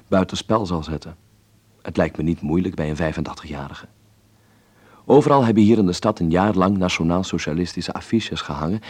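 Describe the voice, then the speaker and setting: A man's voice: low-pitched, a little mellow, husky. A man playing a wry, uneasy observer reading his letter aloud in an intimate, reflective radio drama monologue. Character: male letter writer in 1933 Berlin, wry, uneasy observer.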